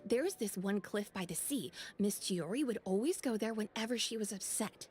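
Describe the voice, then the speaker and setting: A woman voicing a gentle young female shop assistant who speaks in a soft, hesitant way in a video game. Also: mid-range voice, expressive, moderate pace, a little hiss or hum, close to an everyday voice. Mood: worried.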